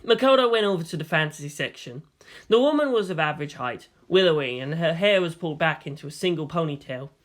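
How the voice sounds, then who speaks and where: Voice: mid-range voice, expressive, fast, fairly bright tone. A narrator giving a scripted audiobook reading of a fan fiction story.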